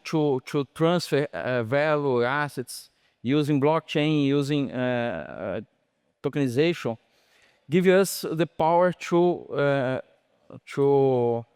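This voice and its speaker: A man from Brazil with a Brazilian Portuguese accent, a bank executive answering on a conference panel in his second language, pausing often and searching for words. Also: mid-range voice, darkish tone, clean tone, dry recording, clean studio sound. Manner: halting, searching for English words, many fillers and restarts.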